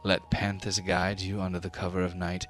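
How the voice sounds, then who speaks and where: A man's voice: low voice, completely flat delivery, normal speed, a bit bright. A man voicing a solemn prophetic mother deity of a giant tribe in a tabletop game, speaking in grand, ceremonial pronouncements. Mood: hushed, resolute. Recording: music under the voice.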